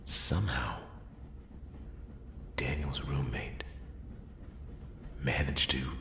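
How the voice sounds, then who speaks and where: A man voicing a very deep, whispery noir detective. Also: full character voice. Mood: grim, pondering. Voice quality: very deep, breathy near-whisper. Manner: slow, deliberate, hushed storytelling. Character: brooding male noir detective narrating a murder mystery. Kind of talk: noir ASMR roleplay monologue. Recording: roomy echo, clear background noise.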